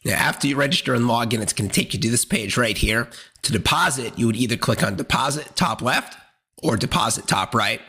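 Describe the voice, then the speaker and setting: A man hosting a casual step-by-step tutorial, plain-spoken, with a hoarse, congested voice from a cold. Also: mid-range voice, animated, fairly quick, sharp tone. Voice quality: raspy, congested. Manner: plain-spoken, instructional, colloquial. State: hoarse, losing his voice from a cold. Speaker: host of a crypto exchange tutorial channel.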